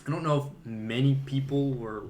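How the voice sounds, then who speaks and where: A man's voice: medium pitch, normal speed, darkish tone. A man co-hosting a podcast, giving informal, opinionated takes on movies in casual conversation. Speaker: podcast co-host.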